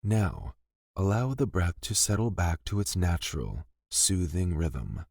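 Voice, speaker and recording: A man leading a scripted guided meditation in a gentle, soothing and deliberate manner, pausing between phrases. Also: low voice, animated, creaky, no echo, clean studio sound.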